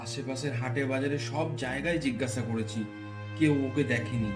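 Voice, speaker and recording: A man's voice: mid-range voice, a little fast, echoey room, music in the background, natural voice. A man voicing a sorrowful but hopeful village father in an earnest, emotional cartoon melodrama. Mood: worried despair. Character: sorrowful, hopeful village father awaiting his lost son.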